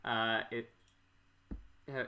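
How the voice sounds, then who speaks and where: An American man hosts a casual interview podcast in a bright, sharp voice. He talks in a rambling, halting way, often doubling back and repeating his words. The tone is that of informal chat. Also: medium pitch, little pitch movement. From Minnesota, US. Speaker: podcast host.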